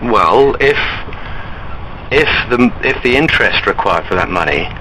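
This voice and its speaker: A man calling an enquiry line and arguing his points in an earnest, insistent, halting way. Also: on the low side, animated, sharp tone. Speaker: caller to a bank enquiry line. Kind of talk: argumentative phone discussion. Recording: recorded phone call with background noise.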